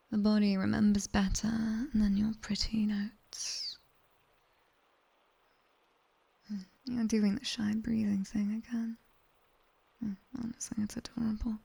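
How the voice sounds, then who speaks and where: A British woman playing a sharp-tongued nightmare demoness tutor in an ASMR roleplay, whispering breathily and close to the microphone with clipped, teasing authority. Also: mid-range voice, creaky, breathy edge. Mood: dry, teasing. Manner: slow, clipped instructions with dry, teasing praise. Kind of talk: ASMR roleplay, guided sleep session. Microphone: close binaural microphone.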